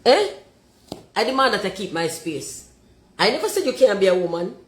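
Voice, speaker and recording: A Jamaican woman with a deep voice, talking forthrightly and emphatically and slipping into patois. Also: very animated, normal speed, echoey room. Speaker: commentator.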